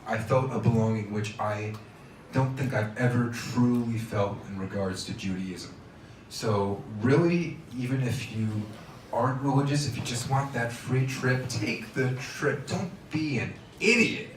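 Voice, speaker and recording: A man's voice: medium pitch, unhurried. A man in casual radio chat as a guest, talking in an earnest, urging way. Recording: roomy, distant mic, faint noise.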